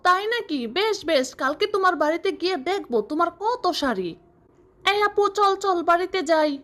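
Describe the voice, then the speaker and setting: A woman voicing a bratty, sharp-tongued girl, the younger sister in a cartoon, who talks in a snappy, scornful way. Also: very high-pitched, lively intonation, brisk, voice pushed up. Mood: teasing.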